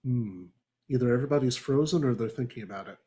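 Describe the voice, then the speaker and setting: Male podcast host, mid-pitched, casual and chuckly, steering a book discussion with questions. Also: fast talker, warm tone. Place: remote video call.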